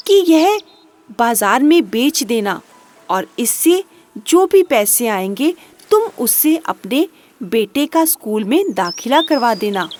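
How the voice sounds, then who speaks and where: An upright magical dog, given voice by a woman storyteller, talks in a high voice. It is earnest and solemn, placing its words slowly as in a storybook. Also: big pitch swings, a little mellow, slight background noise. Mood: kind, instructing. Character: magical talking male pet dog, upright and reproachful.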